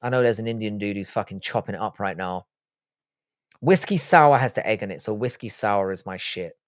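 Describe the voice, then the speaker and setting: A man's voice: fairly low pitch, fairly quick, no echo, clean studio sound. A young man, raised in the UK, hosting a live stream and answering viewer questions in blunt, profane, joking chat. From born in Ireland, grew up in the UK. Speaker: livestream host.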